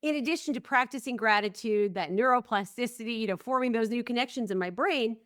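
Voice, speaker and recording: A woman's voice: a little high, quick pace, fairly bright tone, no room sound, clean studio sound. A woman hosting a podcast, speaking earnestly and reflectively, with frequent affirming replies. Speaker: podcast host. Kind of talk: conversational podcast interview.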